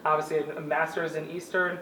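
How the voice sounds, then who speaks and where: A woman in her thirties from New York, telling her life story in an earnest, animated way as an interview guest. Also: low voice, brisk, slight background noise. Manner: animated, laughs easily, earnest. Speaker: guest, former Olympic shot putter. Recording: roomy, distant mic, faint ambience.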